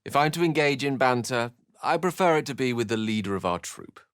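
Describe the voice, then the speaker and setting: An actor playing a scholarly, self-assured and romantic human wizard in a video game, with an eloquent, theatrical way of speaking. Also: male voice, mid-range voice, expressive, fairly quick, a bit bright, smooth, dry recording, studio quality, close to an everyday voice. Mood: charming, flourishing. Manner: eloquent, theatrical, ornate phrasing. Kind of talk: video game character lines and narration.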